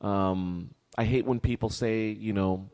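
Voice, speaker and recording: A middle-aged man chatting casually and candidly as a podcast co-host, phrasing his thoughts in a reflective, halting way. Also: fairly low pitch, steady tone, darkish tone, smooth. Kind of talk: casual conversational podcast chat. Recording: echoey hall-like reverb.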